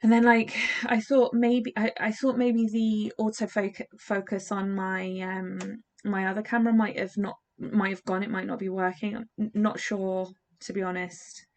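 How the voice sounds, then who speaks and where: A woman's voice: mid-range voice, level tone, moderate pace, hardly any pauses. A young English woman with an English accent, chatting casually and rambling with fillers while she works on a craft project at her desk. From England. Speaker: doll customizing vlogger.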